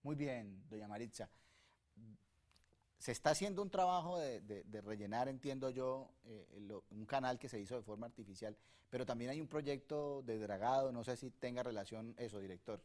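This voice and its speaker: A man's voice: mid-range voice, moderate pace, a little mellow. A man from Colombia hosting a televised teleconference, formal and courteous as he moves between guests and callers. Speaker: host.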